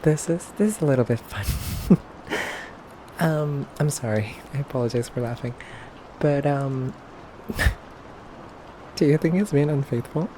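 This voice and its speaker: A man plays a haughty pure-blood vampire questioning his human captive in an ASMR roleplay. He talks slowly, with hesitant pauses and a teasing, condescending politeness. There is clear ambient noise behind the voice. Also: mid-range voice, warm tone, lightly acted, poor audio. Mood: amused. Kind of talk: intimate ASMR roleplay monologue.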